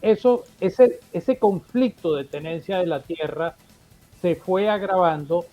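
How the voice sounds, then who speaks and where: A man who grew up in Portugal, a political consultant giving measured, explanatory answers in an interview. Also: high voice, dark tone. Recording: muffled remote line with faint music.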